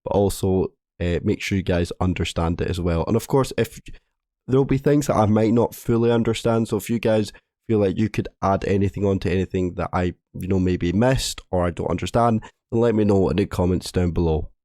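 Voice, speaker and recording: A man hosting a gaming update video, hoarse from a cold, who rambles, apologises and often restarts his words. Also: low voice, brisk, mellow, no room sound, studio quality. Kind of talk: casual commentary reading a game update.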